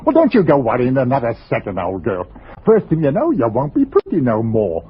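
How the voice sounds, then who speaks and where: An actor playing a fond, placating middle-aged husband, homely and coaxing, in a 1940s radio drama. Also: male voice, mid-range voice, huge pitch swings, brisk, breathy edge. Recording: muffled, noisy 1940s radio recording.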